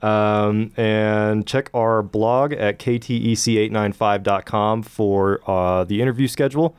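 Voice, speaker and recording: A man's voice: on the low side, fairly even intonation, measured pace, clean tone. A young man hosting a college radio interview, talking in a laid-back, casual way full of fillers. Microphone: studio microphone.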